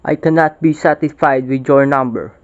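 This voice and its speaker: A man's voice: mid-range voice, normal speed, natural voice. A man playing a bold, proud young epic hero and devoted son in readers' theater, speaking formally. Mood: defiant. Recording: dull lo-fi recording.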